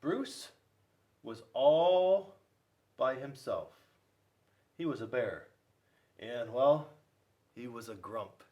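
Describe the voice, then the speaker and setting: Animated man reading to young children. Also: mid-range voice, drawn-out words, long pauses, muffled recording. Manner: playful, addressing young listeners with questions. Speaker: school resource officer reading to children. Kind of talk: animated children's read-aloud.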